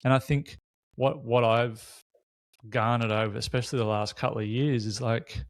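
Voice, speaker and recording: A man's voice: medium pitch, steady tone, moderate pace. A man hosts a reflective, unscripted conversation, speaking candidly and casually in short, considered bursts. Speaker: podcast host.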